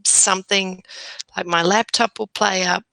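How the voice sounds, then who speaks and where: Gravelly, bright-toned woman chatting. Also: mid-range voice, fairly even intonation, unhurried, no room sound, low-quality recording. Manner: agreeable, chatty. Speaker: livestream co-host. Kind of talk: casual live chat.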